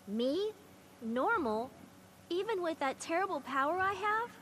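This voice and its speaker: A woman's voice: very high voice, sing-song, a little slow, a bit bright, a little hiss or hum, exaggerated voice. A woman playing an anxious, earnest teenage girl in a fantasy video game, with a pleading manner. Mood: troubled. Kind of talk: video game cutscene acting.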